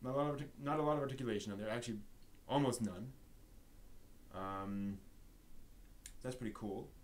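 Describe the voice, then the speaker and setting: A man's voice: mid-range voice, brisk. A man hosting a casual live show-and-tell stream, rambling and thinking aloud as he handles things. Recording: noisy live stream audio.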